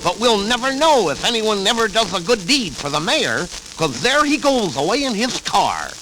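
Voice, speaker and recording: Casting: blustery scheming cartoon tomcat, slobbery lisp, high and swooping, on a vintage record. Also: male voice, very animated, normal speed, sharp tone, a little hiss or hum, heavily acted, rough recording. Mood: amazed. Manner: blustery, exclamatory. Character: lisping, grumpy, scheming cartoon cat. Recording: vintage 78rpm record with music.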